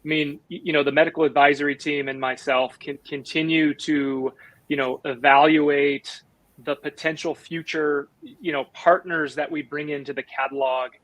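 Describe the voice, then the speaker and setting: A man's voice: a little high, steady tone, moderate pace, fairly bright tone, no room sound, low-quality recording. Upbeat man hosting a webinar. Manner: upbeat, filler-heavy. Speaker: webinar host.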